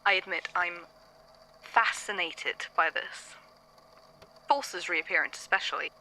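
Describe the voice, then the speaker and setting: Woman doing a calm curious archivist, slow and musing, bright lowish voice, faint hiss. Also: poor audio. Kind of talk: fan audio drama acting.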